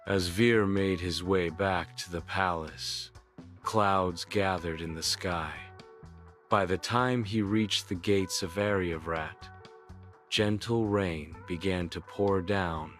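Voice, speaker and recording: Deep, slow male storyteller. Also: fairly even intonation, a bit bright, faint music behind. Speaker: narrator. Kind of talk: scripted bedtime story narration.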